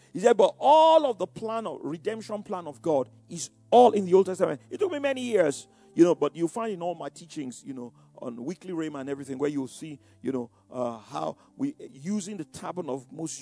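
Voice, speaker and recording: A man's voice: fairly high pitch, warm tone. An older man with a Nigerian Yoruba accent, preaching and recounting his past, who often repeats words and says "you know". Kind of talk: sermon-like personal testimony.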